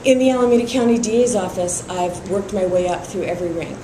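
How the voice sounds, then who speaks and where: Woman, middle-aged, candidate interview, measured with fillers, audible background noise, roomy sound. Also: on the low side. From Iran, raised in San Francisco. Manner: steady, measured, with frequent fillers. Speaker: district attorney candidate. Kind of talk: endorsement interview.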